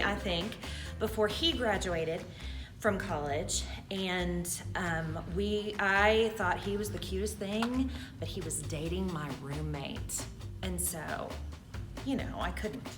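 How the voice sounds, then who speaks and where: A middle-aged woman, an actress, chatting casually and reminiscing in a theatrical, jokey manner. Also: mid-range voice. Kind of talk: casual reminiscing about past stage roles.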